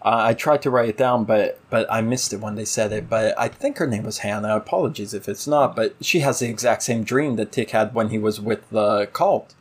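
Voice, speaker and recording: A man co-hosting a casual TV review discussion, halting and thinking aloud as he talks. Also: fairly low pitch, husky. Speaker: podcast co-host.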